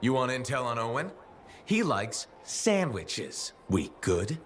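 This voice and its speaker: An actor playing an easygoing, dryly wry freelancer pilot in casual video game dialogue. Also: male voice, medium pitch, big pitch swings, slow pace, slight background noise. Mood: defensive, dry.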